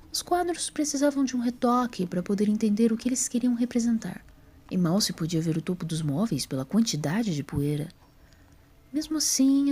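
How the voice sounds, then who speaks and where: A woman's voice: medium pitch, mellow, husky. A woman narrating a scripted story as the narrator of an audio drama.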